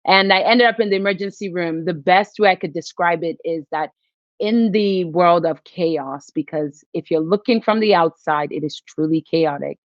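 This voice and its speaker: Earnest Nigerian-born woman, low and dry. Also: normal speed, no room sound, lo-fi sound. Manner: earnest, reflective. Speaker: physician and investor guest. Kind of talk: podcast interview.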